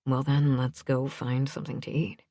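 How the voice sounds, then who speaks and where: Woman playing an easygoing man, low and even. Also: moderate pace, dry recording, studio quality. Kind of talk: audiobook character dialogue.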